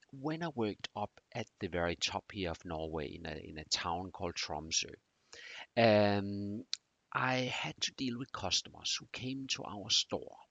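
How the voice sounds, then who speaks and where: Low, gravelly man with a Danish accent. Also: fairly bright tone, dry recording, dull audio. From Denmark. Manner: halting, many fillers and pauses. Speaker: teacher. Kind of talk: recorded course introduction.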